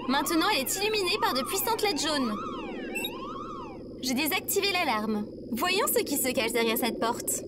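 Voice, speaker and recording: Woman as a high-voiced, grumbling robot companion. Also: moderate pace. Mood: matter-of-fact. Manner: deadpan, complaining. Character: grumbling, pessimistic female robot companion, sarcastic and programmed. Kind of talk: scripted comedic audio fiction acting. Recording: loud background noise.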